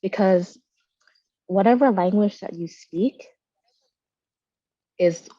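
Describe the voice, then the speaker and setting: A woman whose first language is Vietnamese, telling a personal story quickly and earnestly on a video call. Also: mid-range voice, dark tone, no echo, dull audio. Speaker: panelist.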